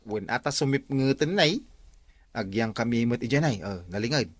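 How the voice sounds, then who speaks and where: A man's voice: mid-range voice, lively intonation, normal speed, no room sound, lightly acted. A man playing a stern village watchman in an Ilocano comedy audio drama, scolding and questioning with an Ilocano Filipino accent. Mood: plotting, hushed. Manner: interrogating, scolding.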